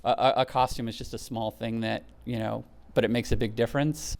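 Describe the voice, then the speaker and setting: An American man talking in an interview in a halting way, often repeating his words. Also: medium pitch, steady tone, vocal fry. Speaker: volunteer costume builder, interviewee. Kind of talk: interview talk.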